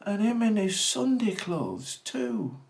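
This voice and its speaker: A man's voice: sits high, big pitch swings, takes it slowly, gravelly, pitched above the performer's own voice, exaggerated voice. A man voicing a blunt, plaintive working-class father in a comic recited poem. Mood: aggrieved.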